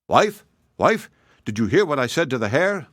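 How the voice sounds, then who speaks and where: A man voicing a shrewd, proud little male hedgehog who speaks calmly and slyly. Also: mid-range voice, moderate pace, no room sound, studio quality, voice pushed up. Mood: confident. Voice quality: calm, sly, plain-spoken.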